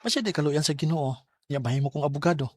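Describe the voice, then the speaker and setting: A man's voice: on the high side, fast talker, husky, no room sound, studio quality. A man playing an earnest, hesitant student who is smitten and dreams of law, acted in Bisaya radio drama style. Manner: hesitant, stammering. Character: earnest male student, studious, smitten aspiring lawyer. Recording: music under the dialogue.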